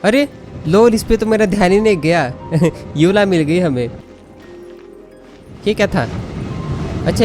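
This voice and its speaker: A man chatting casually as he plays a game, reacting aloud in an amused, informal way. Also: high-pitched. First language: Hindi. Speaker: gaming channel host. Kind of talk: casual gameplay commentary. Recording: commentary mixed over game music.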